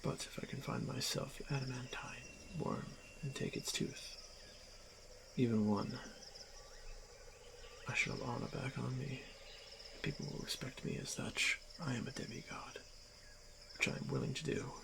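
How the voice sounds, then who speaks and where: A man playing a stoic, honor-bound orc warrior who is gently protective, speaking in hushed, halting, formal phrases for a fantasy ASMR roleplay. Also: mid-range voice, steady tone, gravelly. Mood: grave, determined. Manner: hushed, halting, formal speech with frequent pauses. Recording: noisy track with night insect ambience. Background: crickets and insect ambience.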